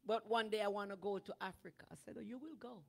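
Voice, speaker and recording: A middle-aged woman preaches from a church stage, holding a microphone close. Her delivery swings from easy, conversational storytelling to loud, shouted exhortation. Her voice sits in a middle range for a woman. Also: medium pitch, fast talker, vocal fry. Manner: animated preaching that builds to shouting. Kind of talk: sermon. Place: church sanctuary with draped stage. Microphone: handheld microphone.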